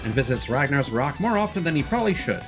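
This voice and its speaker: Male game streamer, lively mid-pitched delivery, reads aloud with wry asides over prominent music. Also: fast talker. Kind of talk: reading game text aloud with casual commentary.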